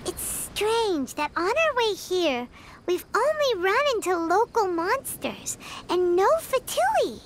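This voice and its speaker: A woman voicing a tiny, childlike fairy companion in a video game, squeaky, excitable and curious. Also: takes it slowly, a little hiss or hum, heavily acted. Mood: puzzled. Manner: squeaky, childlike, refers to self by name. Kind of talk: scripted video game dialogue.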